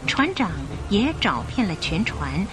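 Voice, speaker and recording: A woman narrating a radio drama in an expressive storytelling style. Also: mid-range voice, a little slow, rough recording. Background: music.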